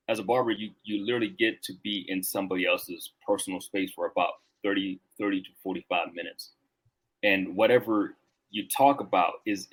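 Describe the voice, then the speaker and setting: A man's voice: sits low, fairly bright tone, slightly rough, poor audio. A man in his thirties from Rwanda, a Marine veteran guest talking casually and reflectively on a podcast, with lots of filler words.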